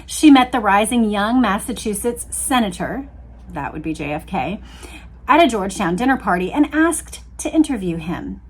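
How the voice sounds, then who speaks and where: A woman's voice: medium pitch, sing-song, moderate pace, slightly rough. An American woman hosting a book readalong, reading history aloud and adding casual, conversational commentary. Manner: conversational, chatty asides.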